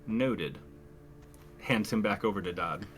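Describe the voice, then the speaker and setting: A man's voice: fairly low pitch, slow, fairly bright tone, faint music behind. A man playing a tabletop roleplaying game, speaking in character and describing actions in a terse, dry way.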